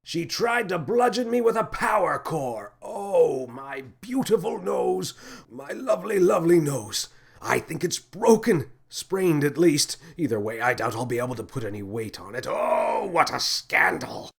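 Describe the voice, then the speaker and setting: A man voicing a lanky, pompous male space captain who whines and shouts melodramatically. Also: fairly high pitch, big pitch swings, unhurried, vocal fry, breathy edge, voice pushed up, exaggerated voice. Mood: hysterical.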